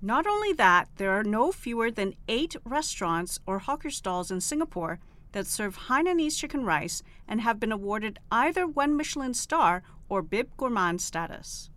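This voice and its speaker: A woman co-hosting a food podcast, reading scripted narration with a lively, expressive delivery. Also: fairly high pitch, moderate pace. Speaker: podcast co-host.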